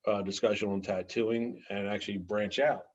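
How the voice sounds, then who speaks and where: Male co-host, low voice, hesitant, dull lo-fi sound. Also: steady tone. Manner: halting, many ums, trailing off quietly. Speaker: podcast co-host. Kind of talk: casual chat.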